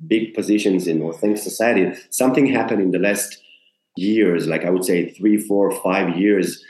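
Earnest actor with roomy echo. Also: male voice, low-pitched, moderate pace, a little mellow. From Israel. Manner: earnest, searching for words, frequent restarts. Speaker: actor, guest. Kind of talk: conversational interview.

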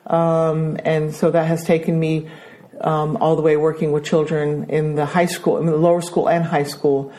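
A woman's voice: sits low, level tone, normal speed. A middle-aged woman with an American accent, a school principal answering interview questions with many hesitations. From Brazil. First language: Danish. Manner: hesitant, many ums, warm about her work.

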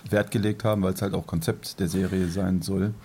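Dark, mellow, fairly even male podcaster. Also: low voice, a little hiss or hum. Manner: explanatory, halting. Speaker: podcast host. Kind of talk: casual podcast discussion.

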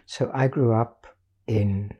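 Older woman telling her life story, mellow and husky. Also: extremely low pitch, fairly even intonation, muffled recording. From Portugal. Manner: measured, storytelling, patient explaining. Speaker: guest artist. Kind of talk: reflective life-story interview.

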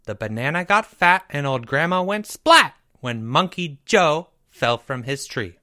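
A man's voice: fairly high pitch, sing-song, measured pace, pushed and bright, no echo, studio quality, exaggerated voice. A man voicing an earnest young schoolboy who recites a silly poem in a sing-song manner in a storybook. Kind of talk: storybook character voice.

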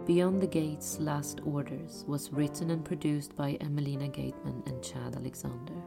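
A woman with a creaky voice reading credits as an announcer in scripted narration. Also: deep voice, steady tone, moderate pace. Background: piano music.

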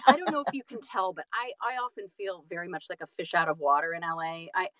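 A woman's voice: on the low side, quick pace, a crowd in the background. A woman telling stories casually as an interview guest, self-deprecating and emphatic in manner. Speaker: guest, audiobook narrator and actress.

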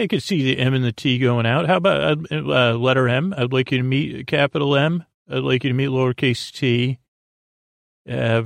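Gravelly, meandering man hosting a bedtime podcast. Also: mid-range voice, dry recording, studio quality. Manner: hesitant, meandering, halting with repeated false starts; whimsical, absurd musing. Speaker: podcast host. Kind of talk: rambling improvised bedtime podcast monologue.

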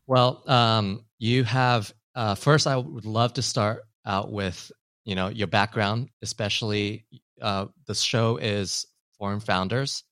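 A man's voice: lowish voice, fairly even intonation, takes it slowly, a bit bright, dry recording, studio quality. A man hosting a podcast, introducing the show and putting questions to his guest. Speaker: podcast host.

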